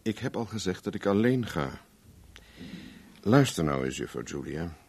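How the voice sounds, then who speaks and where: A very low male voice as a cynical private investigator in a vintage radio drama. He is wry and flirtatious, a hard drinker who sidesteps questions and turns firm when pressed. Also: very animated, moderate pace, creaky, a little mellow, slightly rough, heavily acted. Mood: firm, warning. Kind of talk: Dutch radio play acting, early 1970s.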